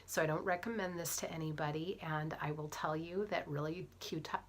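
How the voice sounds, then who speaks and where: A middle-aged woman with a cold, chatting to camera as she tells a story, often dropping into soft whispered asides. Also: low-pitched, fairly even intonation, normal speed. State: has a cold. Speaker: vlogger, physician assistant and fitness coach.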